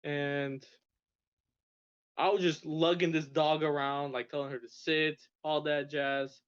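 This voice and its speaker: A man's voice: on the high side, level tone, slow pace, clean tone. A young man from Russia telling stories as a podcast guest, rambling with frequent restarts and stammers. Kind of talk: casual storytelling. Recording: dull lo-fi sound.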